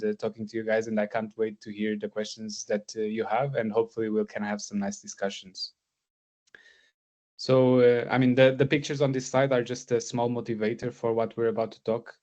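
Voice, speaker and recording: A man's voice: lowish voice, darkish tone. A man in his thirties from Portugal gives a guest lecture over a video call, talking with frequent fillers and restarts. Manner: hesitant, many fillers and restarts. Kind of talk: academic lecture. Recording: slightly dull lo-fi sound.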